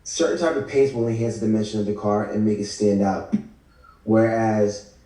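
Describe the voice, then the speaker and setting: Hopeful, guarded male ex-con acted by a man, lowish dark voice, naturalistic table read, roomy remote audio. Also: normal speed, close to an everyday voice. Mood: matter-of-fact. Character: despondent but hopeful male ex-con, aspiring architect. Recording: echoey room, distant video call mic.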